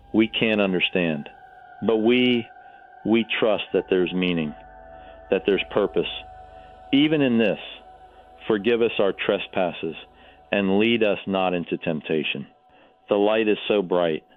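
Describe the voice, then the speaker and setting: An actor playing a devout, awestruck radio caller who speaks with solemn, prayerful fear of judgment. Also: male voice, on the low side, unhurried, long pauses, lightly acted. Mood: fervent prayer. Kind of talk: radio drama acting, phone-in. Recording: tinny phone line over faint music.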